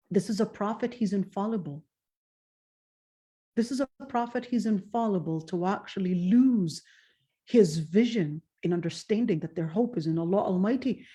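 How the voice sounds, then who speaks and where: A woman lecturing on faith and hardship, speaking earnestly, slowing down on emphatic phrases and reciting Arabic verses. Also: mid-range voice, mellow. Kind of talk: Islamic lecture.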